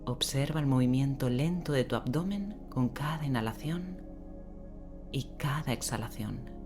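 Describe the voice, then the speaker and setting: Female meditation guide, very deep register, gentle and unhurried, soothing bedtime delivery over prominent relaxing background sound. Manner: gentle, unhurried, with long pauses. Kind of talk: guided sleep meditation, slow soothing instruction. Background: relaxing rain sound and soft music.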